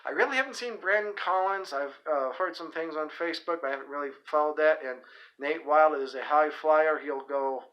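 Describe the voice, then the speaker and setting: A man's voice: fairly high pitch, fairly quick, highs cut off. A man hosting a casual solo report on upcoming wrestling shows, rambling with fillers, restarts and folksy asides. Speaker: host of a wrestling events report.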